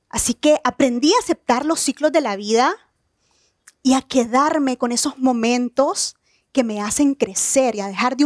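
A woman in her thirties from Nicaragua giving an earnest, measured motivational talk on an outdoor evening stage. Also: a little high, no echo, clean studio sound. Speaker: keynote speaker.

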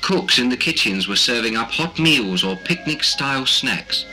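A man's voice: medium pitch, expressive, moderate pace, poor audio. A man reading a scripted commentary in the style of a 1960s documentary film. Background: loud music.

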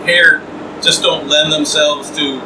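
A man's voice: fairly high pitch, flat delivery, takes it slowly. A middle-aged man hosting a casual interview while getting a haircut, recorded by a far camera mic in a small trailer with fan noise. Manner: chatty, asks questions while getting a haircut. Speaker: host. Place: small trailer interior, windy outdoor event. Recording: roomy far-off sound, fan and wind noise.

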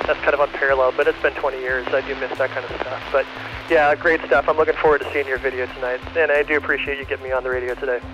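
Man, pilot on the radio, flat monotone, steady and measured, dull radio sound. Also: mid-range voice, music in the background. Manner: even, steady, measured with filler pauses. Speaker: airline pilot on ham radio. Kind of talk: casual radio chat.

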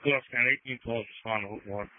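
A man's voice: mid-range voice, fast. A man working as an emergency responder, speaking in short operational exchanges over a two-way radio. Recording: noisy, narrowband patched radio transmission.

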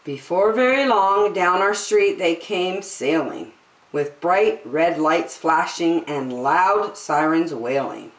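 In a roomy, echoing space, a middle-aged woman reads a rhyming storybook for children. Her voice sits a little low, and she goes very slowly, drawing words out with deliberate emphasis. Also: lively intonation. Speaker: children's story time host. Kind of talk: expressive read-aloud of a rhyming picture book for children. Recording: roomy indoor echo.

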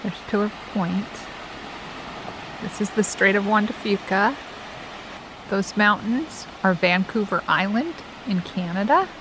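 A woman's voice: medium pitch, measured pace, long pauses, noisy background. A woman in her forties narrating a travel vlog in a casual, chatty way, speaking into a handheld camera. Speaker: travel vlogger. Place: outdoors at roadsides and beaches, or inside a car. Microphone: handheld camera at arm's length.

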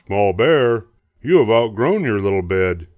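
A man's voice: lowish voice, very animated, measured pace, a little mellow, no echo. A man voicing a big, confident father bear from a storybook, in a hearty, declarative manner. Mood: hearty, announcing.

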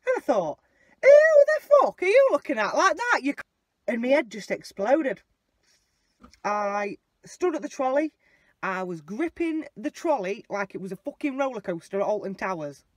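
A young woman rants and tells stories inside a small car. She is blunt and sweary, and her pitch jumps all over the place. The phone mic picks her up close and dry, with almost no echo. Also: sing-song, moderate pace. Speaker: vlogger. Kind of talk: casual storytelling. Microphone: phone camera mounted on the dashboard.

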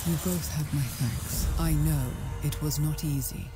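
A very low, slow, grave leader. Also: female voice, steady tone, music in the background. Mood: grave, testing. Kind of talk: fantasy video game voice acting.

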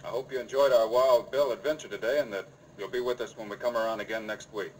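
An actor playing a steady, commanding American lawman hero in an old-time radio western. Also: fairly low pitch, fast talker, natural voice. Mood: friendly. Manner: firm, direct. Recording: noisy old radio recording.

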